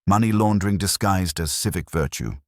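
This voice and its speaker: A man voicing a thoughtful, dryly observant police inspector in an audio mystery, measured and formal. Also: low voice, animated, dry recording, clean studio sound. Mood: dryly admiring.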